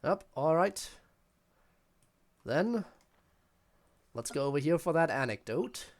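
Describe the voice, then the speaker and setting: A man's voice: on the high side, lively intonation, slow pace. A man doing casual gameplay commentary as a streamer, blunt, grumbling and digressive. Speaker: game streamer.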